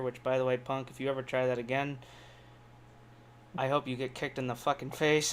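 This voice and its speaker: A brash man co-hosting a casual wrestling podcast, swearing heavily and telling things in an animated way. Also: medium pitch, smooth. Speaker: podcast co-host.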